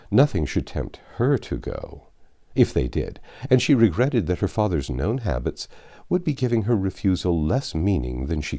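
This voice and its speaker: A man with a deep, mellow voice reads classic fiction aloud. His delivery is measured and literary, with expressive phrasing and a wide, animated swing in pitch. It has the manner of an audiobook narrator. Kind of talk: reading a classic novel aloud.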